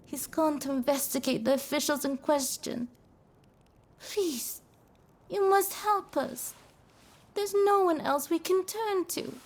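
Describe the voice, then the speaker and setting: A woman's voice: high-pitched, slow, long pauses. A woman playing a polite, anxious and devoted geisha with a deferential manner in a video game cutscene. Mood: polite, troubled.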